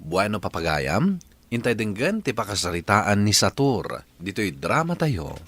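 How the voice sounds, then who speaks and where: Low-pitched man narrating a radio drama. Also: sing-song, a bit bright. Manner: slow, dramatic announcing. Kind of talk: scripted Ilocano radio narration.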